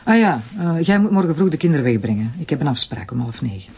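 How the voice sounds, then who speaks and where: Brisk, husky, irritable Flemish wife. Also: female voice, deep voice, big pitch swings, a little mellow, lo-fi sound, heavily acted. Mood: curt, reproachful. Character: irritable, sharp-tongued wife and prosecutor. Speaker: actor. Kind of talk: naturalistic TV drama dialogue.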